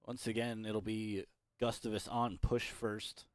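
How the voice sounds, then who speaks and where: Deep-voiced man casting, voice going hoarse. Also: little pitch movement, unhurried, no room sound. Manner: rambling, self-correcting, chatty. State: losing his voice. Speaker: esports caster. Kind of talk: solo play-by-play commentary.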